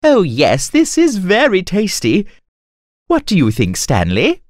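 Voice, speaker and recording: A woman playing a cheerful, polite young male storyteller in an animated children's tale, with a courteous, theatrical manner. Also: mid-range voice, measured pace, no room sound, studio quality. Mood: pleased, musing.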